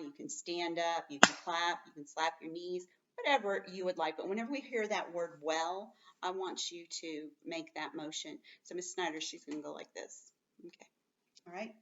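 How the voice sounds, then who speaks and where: A woman teaching young children, reading aloud expressively and explaining simply and patiently, slowing right down to stress words. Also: fairly low pitch, distant mic, lo-fi sound. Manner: expressive, emphatic, slows right down on story words.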